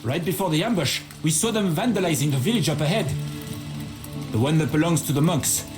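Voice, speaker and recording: An actor playing a combative, loyal knight in a video game, reporting in a brisk soldierly manner. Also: male voice, on the high side, lively intonation, music in the background, close to an everyday voice. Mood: grim report. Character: bold, combative male knight, loyal brother-in-arms. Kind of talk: dramatic video game cutscene acting.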